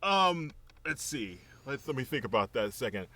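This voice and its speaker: A man's voice: medium pitch, big pitch swings, moderate pace. A man chatting casually as a cast member of a comedy show, in loose group banter, with some background noise.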